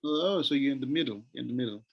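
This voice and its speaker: A man's voice: mid-range voice, fast, creaky, husky, lo-fi sound. Upbeat male interview host. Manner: upbeat, repeats himself, rephrases questions. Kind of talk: interview show hosting.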